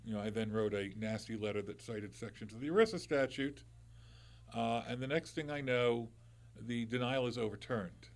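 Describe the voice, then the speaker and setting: A loud-voiced middle-aged man talks into a studio microphone, hosting a live call-in radio show. He chats loosely and conversationally, circling back with restarts and little asides. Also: medium pitch, normal speed.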